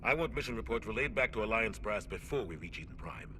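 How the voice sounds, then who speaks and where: A man's voice: on the low side, expressive, fast talker, sharp tone, a little hiss or hum. An actor playing a stern, curt and commanding male warship captain in a video game. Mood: curt, irritated. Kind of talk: video game cutscene acting.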